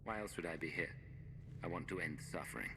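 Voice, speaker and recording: A man's voice: low-pitched, lively intonation, fairly quick, creaky, over music. An actor playing a determined male hero who speaks tersely and resolutely. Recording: game audio, reverberant, with hiss.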